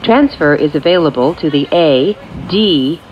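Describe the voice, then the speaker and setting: A woman reading scripted automated subway announcements in measured, clearly separated phrases. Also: low voice, animated. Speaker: transit announcer. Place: recorded off speakers inside a subway train. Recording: muffled capture with train noise. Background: train ambience.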